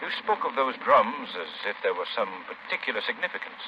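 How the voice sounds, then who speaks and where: An actor playing an urbane, inquisitive gentleman in a 1930s radio drama, courteous and formal in manner. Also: medium pitch, sing-song, a little fast, close to an everyday voice. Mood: polite, curious. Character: urbane, inquisitive gentleman traveler from New York. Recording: muffled 1930s radio recording, faint noise.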